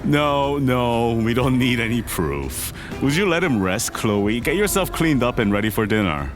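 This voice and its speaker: A man's voice: medium pitch, very animated, measured pace, music in the background. An actor playing a caring, firm middle-aged Korean businessman father with a dry manner in an audio drama. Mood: gently firm.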